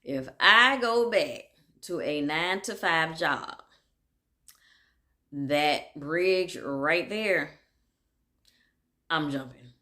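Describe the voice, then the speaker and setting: Low-voiced chatty woman with drawl. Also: expressive, extremely slow, pauses often, sharp tone. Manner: chatty and candid, laughs often, drifts off track. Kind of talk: casual monologue.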